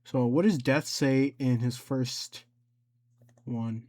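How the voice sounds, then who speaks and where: A man giving a casual software tutorial, rambling and thinking aloud as he works through each step. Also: mid-range voice, steady tone, takes it slowly. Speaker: tutorial presenter.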